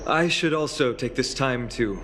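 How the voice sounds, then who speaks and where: An actor playing an earnest young fantasy hero in a video game, speaking sincerely and politely. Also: male voice, mid-range voice, normal speed, fairly bright tone, slight background noise, natural voice. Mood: gentle. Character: earnest young male hero resolved to bear divinity.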